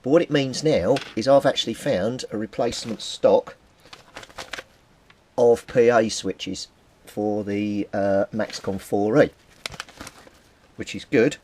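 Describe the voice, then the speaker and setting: A middle-aged man with a British accent and a fairly low voice presents a casual hands-on demonstration. He talks informally, thinking aloud as he handles things at an indoor workbench. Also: slow, long pauses, darkish tone, a little hiss or hum. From Britain. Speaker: hobbyist radio repairer presenting a video.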